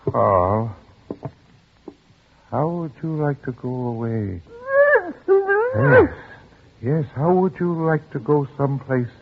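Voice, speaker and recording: An actor playing a frightened, loving elderly father, halting and repeating himself, in 1940s radio drama style. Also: mid-range voice, wildly swooping pitch, gravelly, exaggerated voice. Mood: desperate. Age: older. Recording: muffled old radio recording with music.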